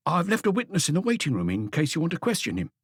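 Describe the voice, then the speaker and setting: A man voicing an excitable, eager police inspector who reports deferentially to his boss in an audiobook. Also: on the high side, fast talker, husky, no room sound, studio quality, exaggerated voice. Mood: agitated, eager.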